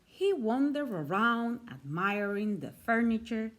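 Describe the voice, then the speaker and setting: Slow, animated woman reading a storybook. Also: fairly high pitch. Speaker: storybook reader. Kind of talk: scripted children's storybook narration.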